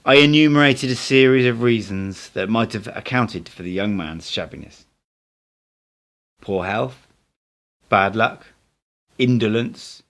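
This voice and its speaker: A man's voice: mid-range voice, fairly bright tone. A man reading a first-person literary story aloud as an amateur audiobook narrator.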